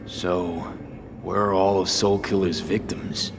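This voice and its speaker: A man's voice: sits low, level tone, slow pace, music in the background. A man playing a weary, earnest mercenary in a video game, talking in an informal, streetwise way. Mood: questioning. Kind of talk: scripted game voice acting.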